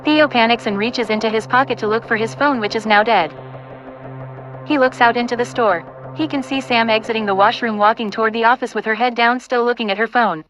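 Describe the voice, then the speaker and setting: A woman narrates scene directions for an audio play in a fairly high voice. She reads as a scripted narrator, with prominent music behind her. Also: brisk, low-quality recording. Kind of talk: scripted screenplay narration, reading stage directions.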